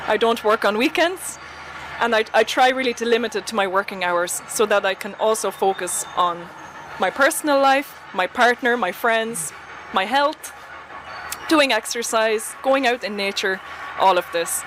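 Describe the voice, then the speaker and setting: A woman's voice: on the high side, lively intonation, normal speed, fairly bright tone, a little hiss or hum, rough recording. A woman with an Irish accent, answering interview questions in a direct, confident way. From Ireland. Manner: direct, confident, advice-giving. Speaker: guest.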